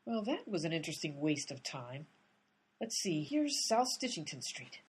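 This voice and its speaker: An actress in a radio comedy playing an exasperated female amateur sleuth who talks in a brisk, bossy way. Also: low voice, sing-song, very noisy. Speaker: lead character, amateur sleuth. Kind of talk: comic radio play acting.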